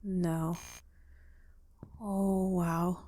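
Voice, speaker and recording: A woman's voice: fairly low pitch, level tone, drawn-out words, mellow, dry recording. A woman giving casual commentary as she plays a game, reacting aloud and talking back. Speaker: game player.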